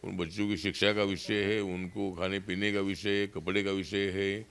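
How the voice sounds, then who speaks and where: A man answers a reporter's question. His voice is low and smooth. He reasons through his points one by one, like someone explaining. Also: level tone, moderate pace, a bit bright. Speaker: interviewee.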